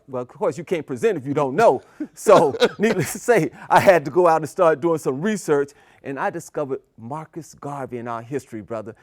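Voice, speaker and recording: A man's voice: mid-range voice, dry recording. Affirming man in a dry, close room. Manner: affirming, frequent "mm-hmm" and "that's right", warm address as "brother". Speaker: co-host. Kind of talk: casual community talk show discussion. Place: local TV studio.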